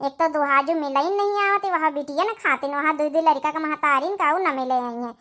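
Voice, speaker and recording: A woman's voice: sits high, brisk, a bit bright. A woman playing a caring, worried young village sister with an Awadhi accent in a family cartoon. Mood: persuading. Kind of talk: family drama cartoon dialogue.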